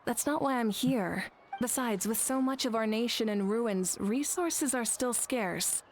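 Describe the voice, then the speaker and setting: An actress voicing a composed, compassionate female ruler with a measured, formal way of speaking in an anime game. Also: fairly high pitch, faint music behind, natural voice.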